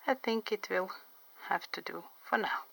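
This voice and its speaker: A middle-aged woman, not a native English speaker, chatting slowly and carefully about her drawing, enunciating every vowel clearly. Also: mid-range voice, a bit bright, rough recording. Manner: slow, careful, clearly enunciated, pauses often. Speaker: artist and interpreter. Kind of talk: drawing tutorial vlog chat. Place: home studio by a window.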